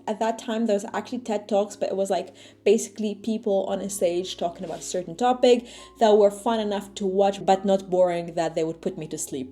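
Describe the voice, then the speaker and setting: A young woman whose first language is Arabic, chatting casually to camera as a vlogger, full of fillers and asides. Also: a little high. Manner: chatty, animated, lots of fillers. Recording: faint music underneath.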